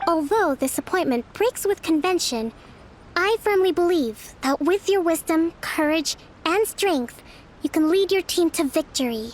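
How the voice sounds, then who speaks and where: A woman playing a gentle, composed young resistance leader in a video game, measured, formal and resolute. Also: extremely high pitch, expressive, slight background noise. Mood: calm, encouraging. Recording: light music behind the voice.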